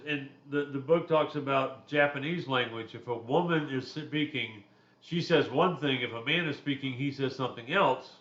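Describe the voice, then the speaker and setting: A man's voice: a bit bright, reverberant, highs cut off. A man, giving a recorded class lecture in a loose, conversational way with personal asides. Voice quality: deep voice. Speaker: instructor.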